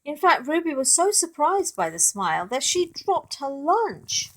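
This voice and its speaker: A woman reads a storybook aloud for children at an unhurried pace. Her pitch moves up and down a great deal for expression. She breaks off to point out pictures and put questions to her young listeners. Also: sing-song, fairly bright tone, poor audio. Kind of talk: expressive read-aloud narration for children with asides.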